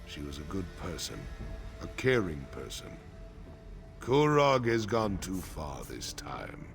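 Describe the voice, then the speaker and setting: Deep gravelly orc chieftain. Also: male voice, very animated, slow, soft background music, exaggerated voice. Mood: grieving, angry. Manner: gruff, solemn, emphatic. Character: grim, resolute male orc clan chief. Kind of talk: fantasy video game acting.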